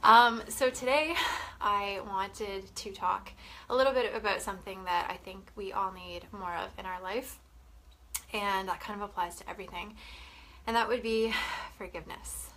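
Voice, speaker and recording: A woman's voice: medium pitch, steady tone, normal speed, a bit bright, poor audio. A young woman from Canada with a head cold, sounding sniffly, talking casually and chattily to camera. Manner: chatty, swearing, frequent "like" and "you know". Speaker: wellness vlogger.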